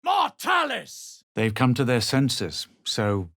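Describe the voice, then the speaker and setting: A man playing a haughty, self-assured fantasy adventurer in a video game, speaking in a measured, superior way. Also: medium pitch, wildly swooping pitch, fairly bright tone, dry recording, shouting, clean studio sound, heavily acted. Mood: intense spellcasting. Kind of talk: video game character voice lines.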